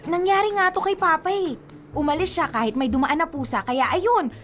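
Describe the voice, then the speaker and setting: A woman playing an insistent, superstitious young girlfriend in a Filipino radio drama, with an expressive delivery. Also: extremely high pitch, a little fast, slight background noise. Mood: earnest, insistent.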